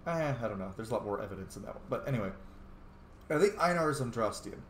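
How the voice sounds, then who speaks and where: A man's voice: medium pitch, animated, fast. A man giving informal, rambling commentary on a video game playthrough, thinking aloud. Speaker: game streamer, role-player. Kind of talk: casual playthrough commentary, reading dialogue choices in character. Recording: roomy, noisy home recording.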